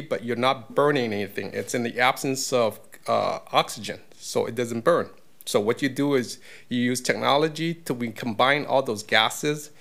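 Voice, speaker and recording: A man's voice: mid-range voice, creaky, fairly bright tone. A man giving a public comment at a council meeting podium, explaining in a conversational way with many fillers. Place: council chamber. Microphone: podium mic.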